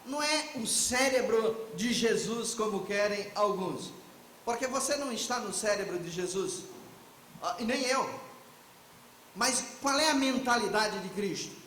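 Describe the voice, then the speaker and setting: A man's voice: high voice, expressive, measured pace, fairly bright tone, echoey room, a little hiss or hum, low-quality recording. A man giving a theological lecture at a conference, reflective and posing questions to the audience before answering them himself. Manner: reflective, asks and answers his own questions. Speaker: conference speaker.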